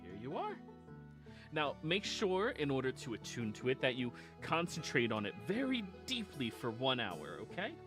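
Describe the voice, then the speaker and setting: A man's voice: medium pitch, huge pitch swings, moderate pace. A man voicing a helpful, courteous shopkeeper of magic wares in a tabletop game. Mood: helpful, instructive. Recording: music playing behind the voice.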